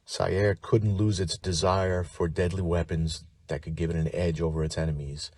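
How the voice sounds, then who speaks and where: A man's voice: deep voice, level tone, normal speed, a little mellow, dry recording. A man hosting a tabletop gaming lore show, reading scripted narration like a dramatic storyteller and slipping into wry, informal asides.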